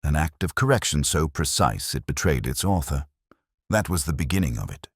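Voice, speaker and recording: Man acting as older male detective, radio drama narration, very deep voice, dry close sound, grave, wry, cerebral, measured. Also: big pitch swings, slightly rough, no echo, full character voice. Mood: brooding, reflective. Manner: measured, reflective, literary, grave. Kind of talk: scripted first-person mystery narration, radio drama.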